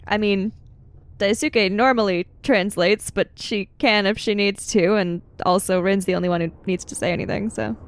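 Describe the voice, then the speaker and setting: A woman's voice: medium pitch, fairly even intonation, normal speed. A woman playing a tabletop game, casually narrating what her character does.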